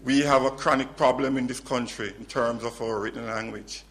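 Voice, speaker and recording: A man's voice: medium pitch, steady tone, moderate pace, a bit bright. A man with a Jamaican accent making earnest, formal remarks at a public event. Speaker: college president.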